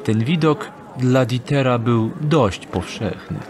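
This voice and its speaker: A man narrating a radio drama in a calm, measured storytelling manner. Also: medium pitch, noisy background. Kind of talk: scripted audio drama narration. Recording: narration over music score.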